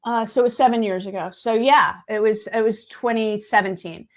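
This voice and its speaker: A woman's voice: on the high side, steady tone, brisk. A woman in her late fifties chatting casually and telling anecdotes, acting out what other people said. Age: late 50s. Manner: chatty, anecdotal, acts out quoted dialogue. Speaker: guest, singer and video editor. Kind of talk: casual chat, storytelling.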